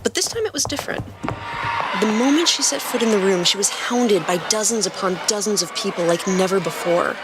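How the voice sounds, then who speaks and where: A woman's voice: medium pitch, fairly quick, loud music behind the voice. A woman hosting a podcast and reading stories as scripted narration. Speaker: podcast host and story reader.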